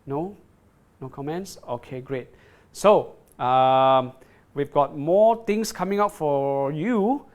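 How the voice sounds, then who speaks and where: A man's voice: fairly high pitch, takes it slowly, darkish tone. A man presenting a product on a livestream, demonstrating it and reading viewer comments, often repeating words and checking in with "right?".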